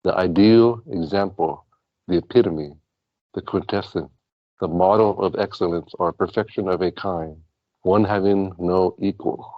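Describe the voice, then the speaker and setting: Low-voiced man, dull lo-fi sound. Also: unhurried, no echo. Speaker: talk show guest. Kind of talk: casual rambling talk about past lives and spirituality.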